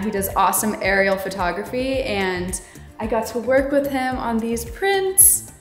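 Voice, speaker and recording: A woman in her twenties with an American accent, chatting casually and informally in a giggly way as a vlogger. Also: mid-range voice. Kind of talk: casual house tour vlog chat. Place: inside a bright open-plan home. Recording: roomy echo, music underneath.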